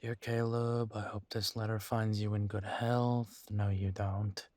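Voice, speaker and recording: A man playing a troubled, tender werewolf boyfriend in an intimate roleplay audio drama, talking haltingly with sighs. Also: lowish voice, level tone, darkish tone, no echo, close to an everyday voice. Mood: uneasy, somber.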